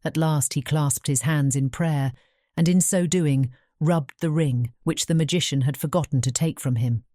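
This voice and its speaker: A woman narrating a bedtime fairy tale as a measured, careful scripted storybook reading. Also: sits low, dark tone.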